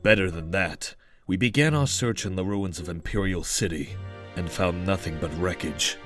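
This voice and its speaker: A man's voice: low-pitched, normal speed, fairly bright tone, faint music behind. A man voicing a serious young male chameleon detective who talks in a clipped, formal, dry way. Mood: stern.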